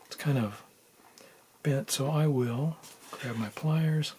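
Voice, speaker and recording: A man talks through a practical task in an informal, think-aloud way, like a casual tutorial host. His voice sits at a middle pitch. It is recorded indoors at a workbench, with some background room noise. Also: slow. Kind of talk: casual hands-on soldering tutorial narration.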